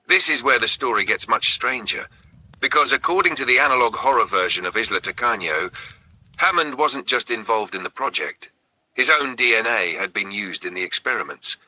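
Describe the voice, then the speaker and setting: Guy doing spooky scripted narration, lowish husky voice w really sharp edge, suspenseful pauses. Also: poor audio. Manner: suspenseful, short phrases with dramatic pauses. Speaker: narrator of a horror storytelling channel.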